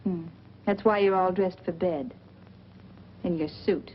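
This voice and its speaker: A woman's voice: on the low side, steady tone, unhurried, natural voice. An actress playing a shrewd, world-weary woman drawn to bad men, knowing and sardonic in a 1940s noir style. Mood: sardonic probing. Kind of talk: 1940s film noir acting. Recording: dull, noisy old film soundtrack.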